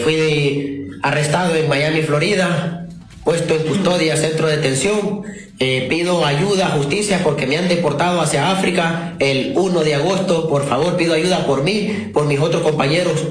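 A man from Honduras, making a halting, pleading appeal for help. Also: a little high. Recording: roomy echoing clip.

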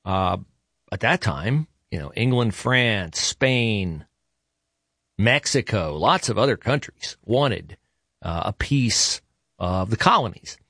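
A man's voice: on the low side, lively intonation, slow pace, fairly bright tone, no echo. Guy hosting talk radio, chatty and opinionated, lots of uhs, dry studio mic. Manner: conversational, many "uh" fillers and self-corrections.

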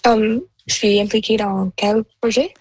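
Halting young woman, native Punjabi speaker, dry sound. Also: mid-range voice, fairly even intonation, slightly rough, no echo, rough recording. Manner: halting second-language French, searching for words. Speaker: interview guest. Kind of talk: casual conversational answers.

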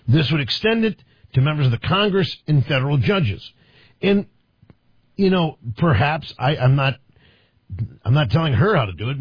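A man's voice: a little high, slightly rough, no room sound, muffled recording. A man hosting a political talk radio show, talking in a sarcastic, combative way with rambling asides.

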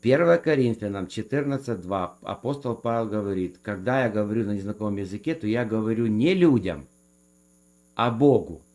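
A man in a theological debate who speaks in a measured way and quotes scripture to make his case. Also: fairly low pitch, lively intonation, a little mellow. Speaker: guest defending speaking in tongues.

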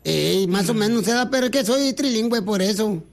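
A man's voice: high voice, lively intonation, moderate pace, creaky. A man playing a rambling, teasing prank caller in a comic phone sketch.

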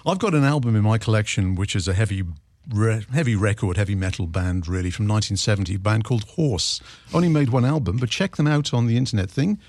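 An older man with a deep, lowish voice hosts a relaxed radio chat. He talks with easy enthusiasm about rock records and his guest. The sound is close and dry, with no room around it. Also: quick pace, dry recording. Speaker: radio host. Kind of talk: casual radio interview.

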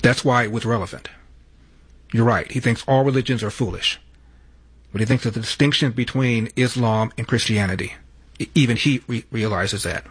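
A man hosting a radio talk show, taking calls and arguing his points in a measured way. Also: mid-range voice, breathy edge, no echo, low-quality recording. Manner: measured, argumentative, repeats himself when interrupted.

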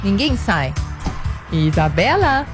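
A woman co-anchoring a live news show, playful and quick in her remarks. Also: mid-range voice, sing-song, music in the background.

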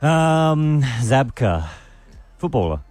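A man's voice: mid-range voice, big pitch swings, very slow, a little mellow, no echo, faint music behind. Easygoing radio host man. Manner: easygoing, self-mocking, laughs often. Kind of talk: breakfast radio banter.

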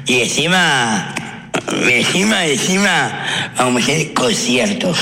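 Young argentine guy, bright edgy voice, bragging, repeats himself. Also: medium pitch, sing-song, slow, gravelly. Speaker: guest in a stream clip. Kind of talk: excited casual chat.

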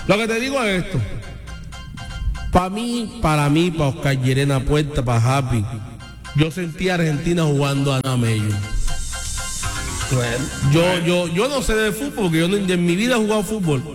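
Chatty high-voiced co-host. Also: male voice, lively intonation, normal speed, soft background music. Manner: joking, chatty. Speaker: radio co-host. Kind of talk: morning radio banter and time checks. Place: radio studio.

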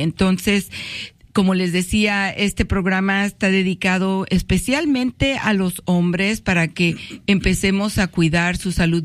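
A woman's voice: fairly low pitch, no echo. A woman hosting a casual radio talk show, welcoming and addressing her audience directly in a conversational way. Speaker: radio host. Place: radio studio.

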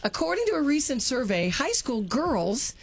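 A woman co-hosting a studio show and running a trivia game, talking with lively banter and laughing often. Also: high-pitched, moderate pace, vocal fry, slightly rough.

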